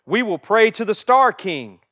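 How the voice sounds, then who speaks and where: A man's voice: sits high, very animated, a little slow, sharp tone, dull audio, voice pushed up, full character voice. A man voicing kindly, concerned villagers in a children's storybook, speaking earnestly.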